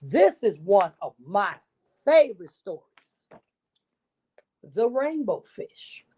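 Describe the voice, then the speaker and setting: A woman's voice: medium pitch, big pitch swings, takes it slowly, mellow, breathy edge. A woman reading a children's story aloud expressively, with asides to her young listeners.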